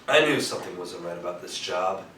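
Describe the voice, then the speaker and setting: Man acting a low-voiced hard-boiled radio detective. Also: lively intonation, brisk, sharp tone. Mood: cool, wry. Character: cool hard-boiled male private detective narrating a radio mystery. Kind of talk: 1940s radio noir narration on stage. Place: theatre stage, recorded from a distance. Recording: echoey stage, distant mic, faint noise.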